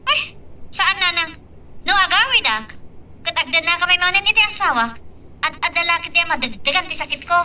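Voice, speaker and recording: A woman's voice: extremely high pitch, expressive, fairly quick, bright, forceful tone, distant mic, slight background noise, exaggerated voice. A woman playing a troubled, obedient grown daughter with an Ilocano Filipino accent in an amateur audio drama. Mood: upset.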